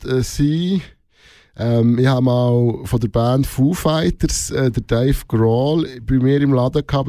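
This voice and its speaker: A middle-aged man with a Swiss German accent, talking casually and reflectively in an interview, a little halting and informal. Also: medium pitch, mellow, no echo, studio quality. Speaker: radio show host being interviewed.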